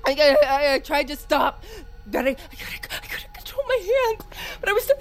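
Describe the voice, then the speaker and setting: Tormented young heroine, very high, swinging voice, loud score underneath. Audio drama. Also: female voice, big pitch swings, normal speed, faint music behind. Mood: distraught, shaken. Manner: emotional, pleading, swearing. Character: desperate, tormented young woman fighting a cursed tape's pull. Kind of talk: audio fiction drama acting.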